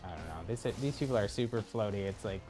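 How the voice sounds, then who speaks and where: A man's voice: fairly low pitch, animated, fast, music in the background. A man giving casual live gameplay commentary, chatty and thinking aloud as he plays. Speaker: livestream gamer.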